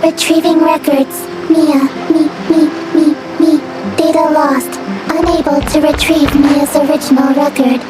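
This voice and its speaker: Game android girl companion; high register, formal, literal, clipped reports, over loud music. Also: little pitch movement, unhurried, low-quality recording. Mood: flat, literal. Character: young female servant robot companion, polite and literal. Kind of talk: video game voice acting.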